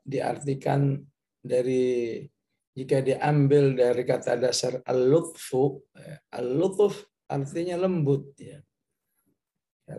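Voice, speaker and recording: A man teaches scripture in a dark, mellow voice with a gravelly creak. His delivery is very slow and halting, like a patient lesson, broken by short confirming tags. He moves between explanation and Arabic recitation. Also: medium pitch, slight background noise, poor audio. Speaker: Islamic teacher, Quran commentator.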